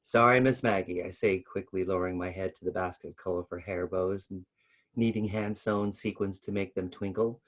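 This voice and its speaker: A man's voice: deep voice, completely flat delivery, moderate pace, a little mellow. A man reads a story aloud expressively, now and then stumbling over a word and adding casual asides. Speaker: co-host reading a story aloud.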